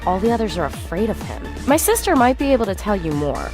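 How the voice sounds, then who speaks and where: A woman voicing a breezy vampire informant from a video game. She is chatty, her pitch moves in lively swings, and music is clearly heard behind her. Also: quick pace, close to an everyday voice. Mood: informative. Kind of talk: video game cutscene dialogue.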